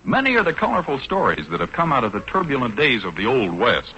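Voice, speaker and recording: An actor playing a mock-grand announcer, narrating 1940s radio comedy in a formal, declamatory way. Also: male voice, medium pitch, very animated, quick pace, lightly acted. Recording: dull, noisy 1940s radio recording.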